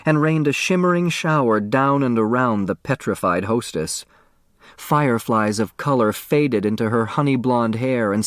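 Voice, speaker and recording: A man reading a children's novel as an audiobook narrator, in an expressive scripted storytelling style. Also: medium pitch, no echo, studio quality.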